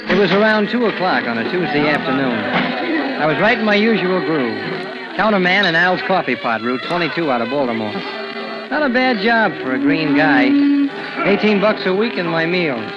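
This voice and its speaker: A man's voice: a little high, animated, moderate pace, loud music behind the voice, natural voice, rough recording. A young man with an American accent playing a wary seventeen-year-old diner counterman in a 1940s radio drama, slangy and guarded. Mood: matter-of-fact narration. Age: young adult.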